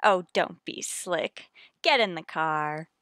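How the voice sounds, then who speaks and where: Woman acting sweet but evasive wife in a radio drama, unhurried, really lively pitch. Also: dry recording, studio quality. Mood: teasing. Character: evasive, secretive suburban wife, sweetly domestic.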